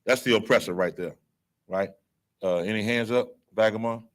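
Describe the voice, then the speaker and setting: A man's voice: lowish voice, normal speed. A man, leading a scripture class in a casual, coarse way and quizzing his listeners with "right?". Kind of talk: street-style scripture lecture.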